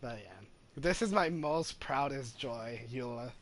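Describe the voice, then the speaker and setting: A man's voice: mid-range voice, unhurried, fairly bright tone, slight background noise. A man hosting a gaming video with casual, informal commentary, repeating points for emphasis.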